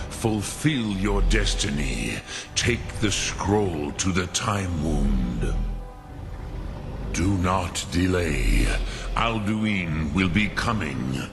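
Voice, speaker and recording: Ancient dragon mentor: extremely deep, gravelly, patient and wise, game dialogue over music. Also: male voice, full character voice. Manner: slow, ponderous, deliberate, pausing to muse. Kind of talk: video game character dialogue. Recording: music under the voice.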